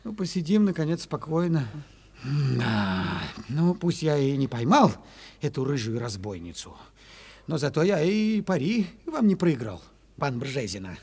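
A man playing an excitable, blustering sausage seller in an audio fairy tale, spluttering and repeating himself. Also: on the high side, measured pace, slightly rough, exaggerated voice. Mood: resigned. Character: blustering, excitable male sausage-shop keeper, easily outwitted. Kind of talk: Soviet 1970s radio-play acting.

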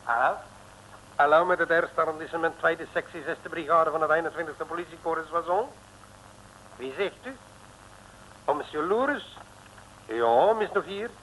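An actor playing a polite, officious French police brigadier in a 1960s comic radio serial. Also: male voice, fairly high pitch, normal speed, pauses often, pushed and bright. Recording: noisy old radio recording.

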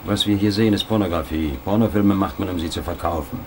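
An actor playing a precise professor of digital image manipulation, speaking in a lecturing, matter-of-fact way. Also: male voice, deep voice, quick pace, noisy background.

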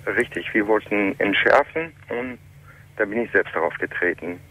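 A man in his late thirties from Iran, calling in to a radio talk show and telling his story in halting, German. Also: fairly low pitch, sharp tone, slight background noise. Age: 30s. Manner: halting, plain non-native German. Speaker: caller. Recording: muffled telephone line.

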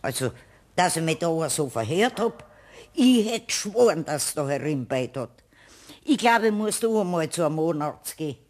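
An actress playing a puzzled, insistent village grocer in a radio play, with a Bavarian accent. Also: female voice, low voice, big pitch swings, moderate pace, darkish tone. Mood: baffled. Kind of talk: German radio play acting.

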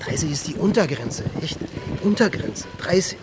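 A man's voice: a little high, big pitch swings, normal speed, music in the background. An actor playing a jittery, boastful young junkie thief in a crime radio drama, stammering and compulsively repeating his phrases. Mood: agitated, eager.

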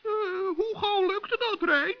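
A man voicing a kindly, shrewd little forest gnome in a children's radio play, with a gently teasing manner. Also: very high voice, slow, pitched above the performer's own voice, heavily acted. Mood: curious. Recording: dull, lo-fi old recording.

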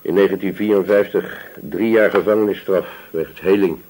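Deep, husky village police sergeant. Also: male voice, steady tone, normal speed, a little mellow, low-quality recording. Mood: confiding. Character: obliging, gossipy male village police sergeant. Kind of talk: radio drama acting.

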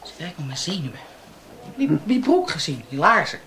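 Sardonic policewoman, very lively intonation. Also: fairly low pitch, moderate pace, a little hiss or hum. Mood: irritated, brisk. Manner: dry, sarcastic, blunt. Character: sardonic, brusque female police detective. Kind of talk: naturalistic Dutch radio play acting.

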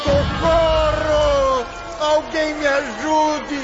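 A man's voice: extremely high pitch, fairly even intonation, shouting, highs cut off, loud music behind the voice, over a noisy crowd, exaggerated voice. A man voicing a tormented soul who screams that insects are devouring him. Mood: terrified. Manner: screaming, drawn-out cries.

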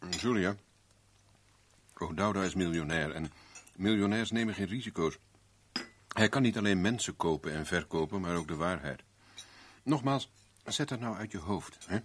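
An actor with a Netherlands Dutch accent playing a shrewd, self-assured private investigator in a 1970s radio play, measured, persuasive and knowing. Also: male voice, sits low, slight background noise, lightly acted. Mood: quiet, serious.

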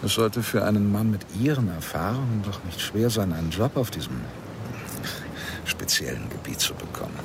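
A man's voice: fairly low pitch, lively intonation, normal speed, noisy background. An actor playing a probing, insistent male lawyer who tells his own story in a German radio play, with a dry, pressing manner. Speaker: radio drama actor, narrator.

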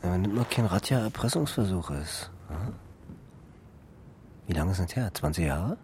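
A man's voice: sits low, expressive, normal speed, a little mellow, a little hiss or hum. An actor playing a blustering, smug tabloid gossip king in a radio drama, chummy and condescending. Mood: mocking, threatening.

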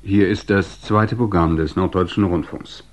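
A man's voice: sits low, fairly even intonation, measured pace. A man working as a radio announcer, reading a scripted 1960s programme announcement and credits.

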